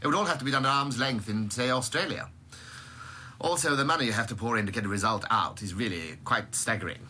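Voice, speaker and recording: An actor playing a blunt, sceptical, irritable British scientist of high standing in a radio drama. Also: male voice, fast talker, sharp tone, slight background noise. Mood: considered, doubtful. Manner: blunt, plain-spoken.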